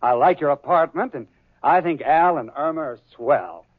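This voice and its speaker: A polite, stammering rich heir. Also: male voice, a little high, animated, normal speed, no room sound. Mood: courteous. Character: polite, wealthy young heir, mild-mannered. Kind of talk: 1940s radio sitcom acting. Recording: thin, tinny, dry old radio recording.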